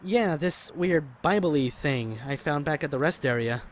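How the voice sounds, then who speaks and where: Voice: medium pitch, a little slow, a little hiss or hum, natural voice. An actor playing a bookish, easygoing traveler in an audio drama, casual and conciliatory. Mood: mild, curious.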